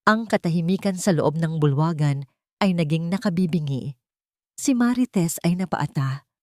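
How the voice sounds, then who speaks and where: A woman narrating a scripted dramatic story in a measured, literary manner. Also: low voice, lively intonation, warm tone, no echo, clean studio sound.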